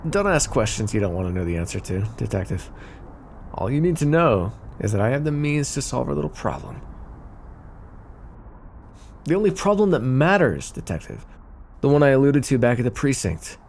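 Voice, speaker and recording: A man's voice: medium pitch, very animated, normal speed, long pauses, a little mellow, a little hiss or hum. A man playing a smug, flirty, scheming mafia boss in an ASMR roleplay, teasing and theatrical. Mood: persuasive, confiding. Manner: teasing, smooth-talking, theatrical. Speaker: voice actor in audio roleplay. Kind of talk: ASMR roleplay acting, addressed to listener.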